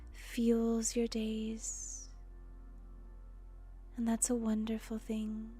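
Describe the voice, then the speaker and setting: Very slow, soft-spoken woman guiding meditation. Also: on the high side. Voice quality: soft, breathy, often trailing into near-whisper. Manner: soothing, gentle, deliberate with pauses. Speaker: guided meditation host. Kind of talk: guided meditation narration. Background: soft music.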